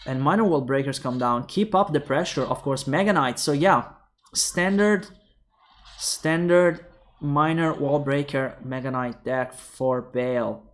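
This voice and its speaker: A young man with an Italian accent casting a mobile game tournament alone, calling plays quickly and thinking aloud to viewers. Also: mid-range voice, a bit bright. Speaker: esports caster. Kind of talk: solo esports play-by-play commentary.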